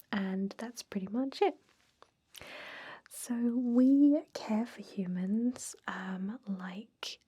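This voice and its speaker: A woman playing a chatty, cynical vampire lab worker in a whispered ASMR roleplay, talking in casual, gossipy asides. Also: mid-range voice. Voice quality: breathy, soft whisper. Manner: casual, gossipy, hesitant filler-laden asides.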